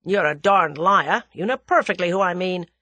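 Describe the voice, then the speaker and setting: A woman's voice: fairly low pitch, moderate pace, sharp tone, no echo. A woman voicing a brash, menacing young American millionaire with a blunt, slangy drawl in an audiobook.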